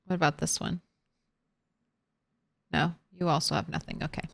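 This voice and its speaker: A woman's voice: deep voice, sing-song, moderate pace, vocal fry. A young adult woman streaming a game, chatting casually, thinking aloud and laughing often. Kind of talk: casual chat while gaming.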